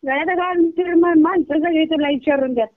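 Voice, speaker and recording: A woman's voice: very high voice, brisk, no echo. An older woman with a rural Kolhapur Marathi accent chatting casually on the phone in a blunt, earthy, colloquial way. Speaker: prank call recipient, video creator. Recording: narrow, tinny phone line.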